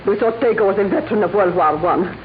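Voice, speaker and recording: An actress playing a proud, defiant tavern keeper's wife in emphatic 1940s radio melodrama. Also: female voice, medium pitch, fast, close to an everyday voice. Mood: proud, guarded. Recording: tinny, noisy 1940s radio recording.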